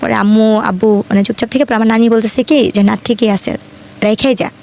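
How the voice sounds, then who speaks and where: A woman's voice: fairly high pitch, fairly even intonation, brisk, gravelly, a little mellow. A young woman with a Bangladeshi accent, telling her own life story conversationally in detail, often quoting dialogue. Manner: detailed retelling with frequent quoted dialogue.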